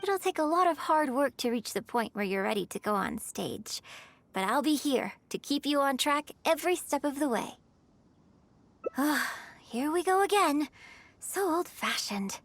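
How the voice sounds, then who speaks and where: A woman playing a graceful young opera performer in a video game, speaking in a poised, articulate, gently instructive way. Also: sits high, animated, moderate pace, dry recording. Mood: warm, encouraging, then playful. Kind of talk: scripted video game character dialogue. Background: music.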